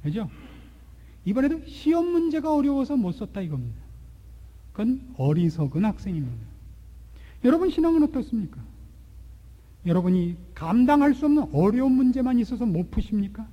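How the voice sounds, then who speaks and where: A man in his forties preaching a sermon, asking rhetorical questions and swinging between loud and hushed delivery. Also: very high voice, big pitch swings, a little fast, long pauses, lo-fi sound. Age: 40s. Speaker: pastor. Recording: long hall reverb.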